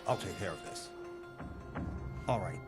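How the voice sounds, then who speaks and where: An actor playing a calm, authoritative male hospital director in a dubbed TV medical drama. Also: mid-range voice, moderate pace, close to an everyday voice. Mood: calm, placating. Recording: loud music under the dialogue. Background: loud music.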